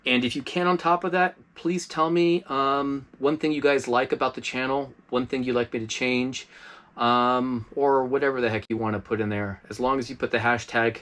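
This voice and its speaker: A man casually reviewing a budget radio as host of his channel, relaxed and chatty with lots of fillers, recorded inside an RV. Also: medium pitch, normal speed.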